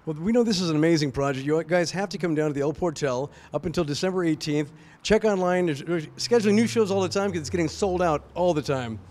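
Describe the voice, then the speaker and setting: Effusive guy interviewer, talks quick, chatty and upbeat on camera. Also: on the high side, a little mellow. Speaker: interviewer and show host.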